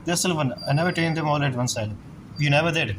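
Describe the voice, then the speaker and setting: A man's voice: a little high, quick pace, background noise. A man giving casual, rambling gameplay commentary as he streams, muttering his reactions to the game. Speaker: gaming streamer. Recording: game music clearly behind the voice.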